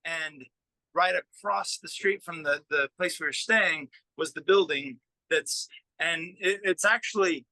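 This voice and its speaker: A man's voice: on the high side, a little slow, pushed and bright, no room sound, poor audio. A middle-aged man, a musician, chatting casually and informally in an interview in a self-effacing way. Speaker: musician and record producer being interviewed.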